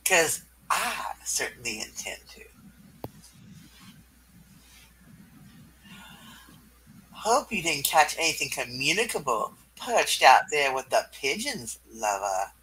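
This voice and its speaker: A flirtatious, thrill-seeking female cat burglar performed by a man in a comic book reading. The delivery is slow and teasing, with purring, lively swings of pitch. The voice is bright, over faint room noise. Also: full character voice, poor audio. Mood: seductive, teasing.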